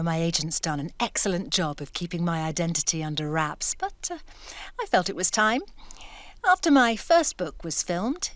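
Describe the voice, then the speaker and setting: A woman's voice: fairly low pitch, no echo. A woman acting in an audio drama as a shrewd, self-assured writer, speaking in a composed and pointed way. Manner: composed, pointed, quietly assertive. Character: shrewd, self-assured woman writer secretly behind a male pen name.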